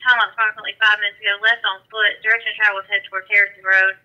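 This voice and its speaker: High, piercing male dispatcher on dull radio. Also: little pitch movement, rapid-fire. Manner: clipped, procedural. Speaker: police dispatcher. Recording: dull lo-fi police scanner radio.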